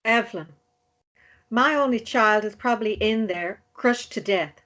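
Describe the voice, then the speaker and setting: Woman as grieving, restrained mother, fairly high, clear-edged voice, measured delivery. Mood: grieving, controlled. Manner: soft, considered delivery. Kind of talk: table-read dialogue acting.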